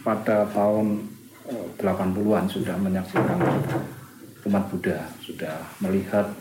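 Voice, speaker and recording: A man's voice: low voice, flat delivery, moderate pace, echoey room, noisy background. A man interviewing an older guest, politely prompting with questions. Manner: polite, prompting, with short acknowledgments.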